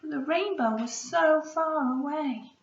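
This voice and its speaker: A woman, a primary school teacher, reading a storybook aloud to children in an expressive way, with hushed asides to her listeners. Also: sits high, measured pace. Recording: roomy echo, slightly dull sound.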